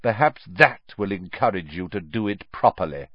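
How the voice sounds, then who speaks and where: A man's voice: sits low, animated, moderate pace, no echo, muffled recording. A man voicing a cold, scornful male potions teacher with an icy, cutting delivery. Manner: icy, cutting, raised in scolding.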